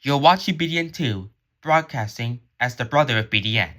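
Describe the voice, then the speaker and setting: A man's voice: mid-range voice, animated, fairly quick, a bit bright. A man reading scripted emergency alert narration in a formal, solemn announcer manner.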